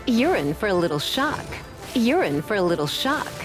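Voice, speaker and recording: A woman's voice: mid-range voice, very animated, normal speed, music in the background, natural voice. A woman voicing a spirited young fighter in a video game, giving short exclaimed battle cries. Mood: spirited, combative.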